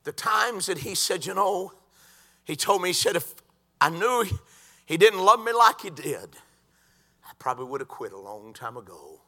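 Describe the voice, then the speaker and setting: High-voiced preacher. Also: male voice, big pitch swings, normal speed, pauses often. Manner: swings from quiet talk to shouted crescendos. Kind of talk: sermon. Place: church.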